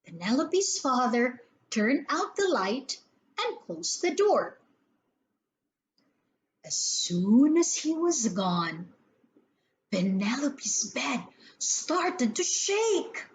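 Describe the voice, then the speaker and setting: A woman's voice: high voice, big pitch swings, extremely slow. A woman reading a scripted children's story aloud as the storyteller.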